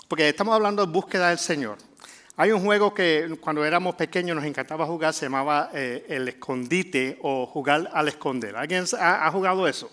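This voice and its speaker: A middle-aged man from Puerto Rico with a Puerto Rican Spanish accent, preaching a sermon in a conversational way with frequent filler pauses. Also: on the high side, fairly bright tone. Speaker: pastor.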